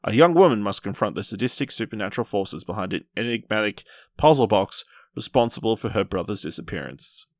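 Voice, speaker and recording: A man's voice: lowish voice, animated, a little fast, dry recording, studio quality. A man hosting a podcast and chatting casually about films. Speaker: podcast host. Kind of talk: casual film review chat.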